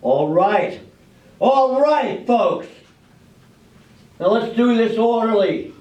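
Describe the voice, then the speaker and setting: A man in an amateur stage play acting an orderly small-town official who directs people with folksy, halting authority. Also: very high-pitched, lively intonation, a little mellow, exaggerated voice. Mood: orderly, businesslike. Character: brisk, orderly small-town official running a public drawing. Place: library room, staged performance. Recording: echoey, muffled, distant room with faint noise.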